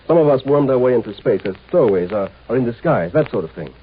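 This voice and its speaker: Shrewd, urbane undercover marshal played by a man, condescending, widely swinging pitch, old tinny radio drama sound. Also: animated, fast, a little hiss or hum, close to an everyday voice. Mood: smug, explaining. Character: shrewd, superior native agent posing as imperial marshal. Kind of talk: 1950s radio drama acting. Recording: muffled, tinny 1950s radio recording.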